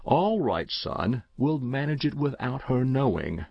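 A man's voice: mid-range voice, sing-song, a little slow, vocal fry, no echo, muffled recording, pitched above the performer's own voice, heavily acted. A man reading aloud, voicing a wry, indulgent middle-aged uncle who talks in a dry, questioning way.

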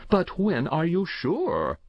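A man acts out a jovial storybook uncle, indulgent and teasing. The voice swings widely in pitch with hearty disbelief, and has a creaky edge. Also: huge pitch swings, slow, no room sound, lo-fi sound, full character voice. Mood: probing, incredulous. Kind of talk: storybook character reading.

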